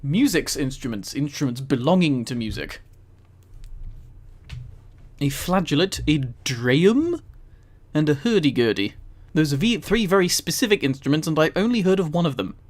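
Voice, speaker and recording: A man's voice: a little high, sing-song, moderate pace. A man casually reading aloud on a livestream and commenting, easily amused and giggly. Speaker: streamer.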